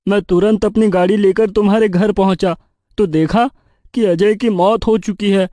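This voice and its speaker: A man voicing a troubled young male friend in an animated horror story. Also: high-pitched, moderate pace, no echo, studio quality, voice pushed up, lightly acted.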